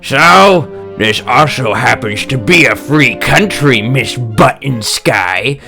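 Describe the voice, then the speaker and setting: A man's voice: medium pitch, lively intonation, takes it slowly, creaky, pushed and bright, heavily acted. A man voicing a rude, belligerent smoker with a sneering manner in a comic-book dub. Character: rude, defiant adult male smoker. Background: music.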